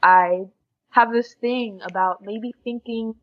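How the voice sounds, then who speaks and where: A woman's voice: fairly high pitch, fairly even intonation, takes it slowly, lo-fi sound. A young American woman chatting casually and openly as a guest in an interview. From USA. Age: young adult. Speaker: podcast guest, exchange student.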